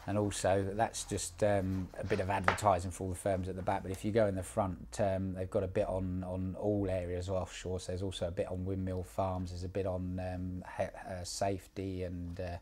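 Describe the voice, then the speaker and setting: A man mentoring someone one-on-one in a casual, relaxed way, repeating words and using many fillers. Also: low voice, normal speed, hardly any pauses, a little hiss or hum. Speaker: job placement mentor.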